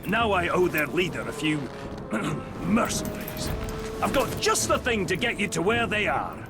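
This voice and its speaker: A joking dwarf tinkerer. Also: male voice, high voice, sing-song, normal speed, gravelly, heavy background noise, exaggerated voice. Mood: teasing. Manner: joking, teasing, offhand. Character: wisecracking male dwarf tinkerer and hunter. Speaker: inventor who helps the hero. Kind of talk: video game cutscene acting. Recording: cutscene with music behind.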